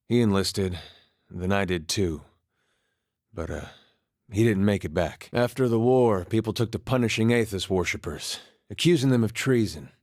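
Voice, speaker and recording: Male actor playing easygoing war-veteran farmer, lowish voice, wry and haunted, folksy phrasing, video game dialogue, dry recording. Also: no echo, close to an everyday voice. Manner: folksy, laconic, dry-humored drawl with dropped words. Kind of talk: video game character dialogue, conversational storytelling.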